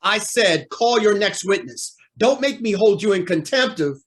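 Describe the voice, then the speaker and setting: Stern radio-play judge acted by a man: high voice, emphatic swings, curt authority. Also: animated, a little slow, a bit bright, a little hiss or hum. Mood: stern, irritated. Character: stern, impatient trial judge. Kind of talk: old-time radio drama acting.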